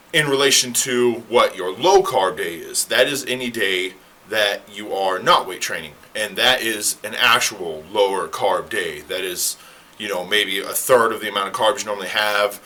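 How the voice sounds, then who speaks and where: A man who is a fitness coach, talking casually to the camera in a rambling, self-correcting way. He sounds slightly hoarse. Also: lowish voice, bright, forceful tone. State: slightly hoarse, clearing throat. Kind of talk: casual explainer talk to camera.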